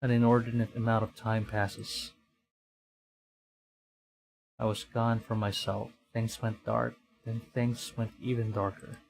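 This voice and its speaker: A man's voice: lowish voice, flat delivery, normal speed, gravelly, darkish tone, rough recording. A man from the Philippines streaming a game, reacting wryly with stammers and reading the text aloud. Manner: hesitant, stammering, wry reactions. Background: game music.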